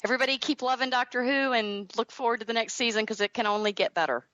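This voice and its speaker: A woman's voice: a little high, quick pace, a bit bright, dry recording. A woman from the American South chatting casually as a guest in an interview, heard over muffled, remote-sounding audio.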